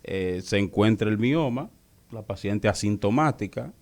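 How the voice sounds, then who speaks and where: A male doctor explains things as an interview guest, didactic and halting, often repeating words. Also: fairly low pitch, expressive, darkish tone.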